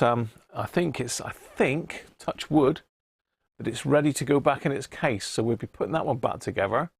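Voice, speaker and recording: A man hosting a casual live stream about radio repairs, croaky today, chatting and greeting viewers by name. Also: mid-range voice, no room sound, clean studio sound. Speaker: radio repair livestream host.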